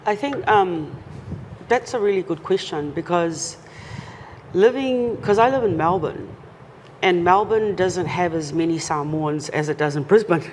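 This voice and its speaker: A woman's voice: lowish voice, measured pace. Earnest woman in a reverberant room. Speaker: guest. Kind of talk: casual interview talk. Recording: long reverb, faint noise.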